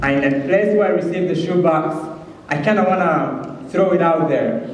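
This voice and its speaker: A man from Rwanda with a Rwandan accent gives an earnest, halting personal testimony as a guest speaker in church. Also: on the high side. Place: large church hall, speaking to an audience. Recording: very echoey hall, distant mic, faint noise.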